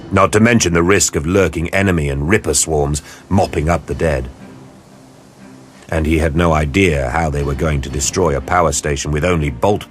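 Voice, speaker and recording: A man narrating an audio drama in a deep, husky voice with grave, deliberate storytelling.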